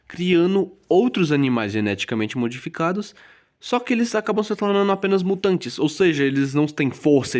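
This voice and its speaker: Slangy young Brazilian man reviewing games. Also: fairly high pitch. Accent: Brazilian Portuguese. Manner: casual and slangy, jokey asides. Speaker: video game YouTuber. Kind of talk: scripted voice-over game review.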